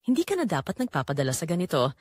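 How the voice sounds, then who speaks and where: A woman's voice: on the low side, wildly swooping pitch, rapid-fire, darkish tone, slightly rough, dry recording, clean studio sound, exaggerated voice. A woman playing a lonely middle-aged widowed mother who tells her secretly yearning story in an audio drama. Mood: conflicted yearning. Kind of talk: first-person dramatic audio story narration.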